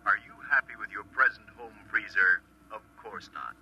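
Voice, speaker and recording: A blaring pitchman from a vintage radio play. His voice is intensely sharp and rough-edged, pushing the sale relentlessly. The sound is lo-fi, like an old loudspeaker. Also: lively intonation, moderate pace, heavily acted. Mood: hard-selling. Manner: forceful, repetitive. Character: pushy, blaring advertising pitchman. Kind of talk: hard-sell commercial announcing.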